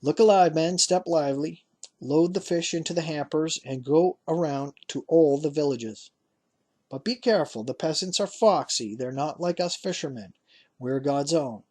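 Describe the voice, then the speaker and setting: A man voicing a shrewd, excitable old fisherman father who cries out in a husky voice. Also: normal speed, lightly acted. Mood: bossy. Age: older. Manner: shouting eagerly, cries out high.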